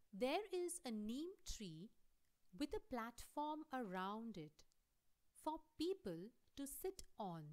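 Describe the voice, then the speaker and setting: A woman teacher reading a play aloud for a lesson, deliberately, in short explained phrases. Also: on the high side. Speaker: English teacher.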